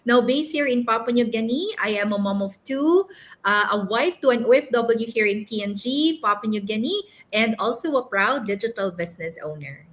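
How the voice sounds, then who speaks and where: A polite, friendly woman introduces herself as a guest. Her voice is a little on the high side. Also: expressive, normal speed. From Philippines. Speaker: guest business owner.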